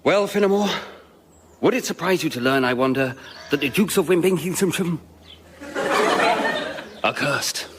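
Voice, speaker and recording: An actor playing a doomed, hearty aristocratic duke with an upper-class English accent in a radio comedy. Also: male voice, fairly high pitch, expressive, a little slow, long pauses, background noise. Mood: grave, melodramatic. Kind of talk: radio sketch comedy acting.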